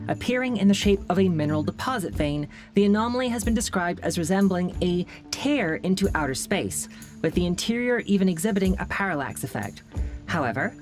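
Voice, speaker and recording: Female actor as secretive research staffer, audio drama. Slightly low, animated intonation, businesslike, soft music behind. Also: fairly quick, lightly acted. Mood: clinical. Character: woman of a secretive research organization. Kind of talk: scripted documentary narration and audio drama.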